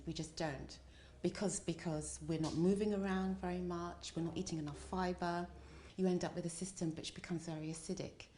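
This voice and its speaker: A woman's voice: fairly low pitch, mellow, a little hiss or hum. A woman explaining as an expert guest in an interview, emphasising points by repetition and checking in as she goes.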